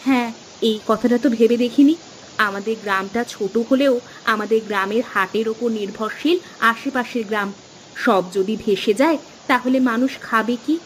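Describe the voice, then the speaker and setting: A woman voicing a devoted, teasing traditional village wife in a cartoon, homely and expressive. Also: on the high side, normal speed, a little hiss or hum, natural voice, low-quality recording. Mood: thoughtful, admiring. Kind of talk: cartoon dialogue acting.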